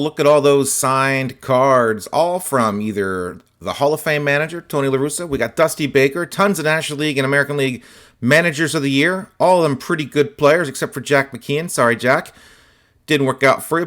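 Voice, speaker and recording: A man with a slightly hoarse, scratchy voice, chatting casually as host while showing autographed sports cards. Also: on the high side, a little fast. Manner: relaxed, chatty, many fillers and asides. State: slightly hoarse, voice partly lost. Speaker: host of a card-collecting channel.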